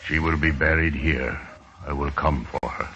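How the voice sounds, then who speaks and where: Dignified, grieving chief, terse and formal, forceful tone, dull vintage radio sound. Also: male voice, very deep voice, fairly even intonation, moderate pace, slight background noise, heavily acted. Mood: solemn resolve. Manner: terse, solemn, stately, formal phrasing. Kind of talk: 1950s radio drama acting. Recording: dull old radio recording.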